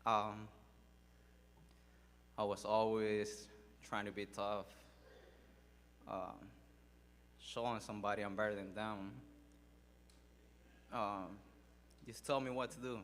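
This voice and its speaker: Halting man. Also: fairly low pitch, flat delivery, fairly bright tone, big-room sound, a little hiss or hum. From Mexico. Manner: halting, with many fillers and pauses. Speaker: speaker sharing his life story. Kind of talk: personal testimony speech. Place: large hall at a public event.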